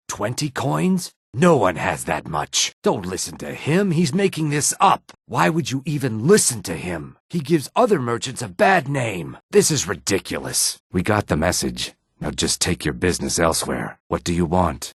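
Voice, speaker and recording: Lizard man npc for a fantasy game, real deep n husky, sly but polite, kinda formal, dry studio sound. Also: no echo. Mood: indignant, then cold, curt. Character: adult male Argonian lizard-man, sly, courteous fantasy townsman. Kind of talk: scripted video game dialogue acting.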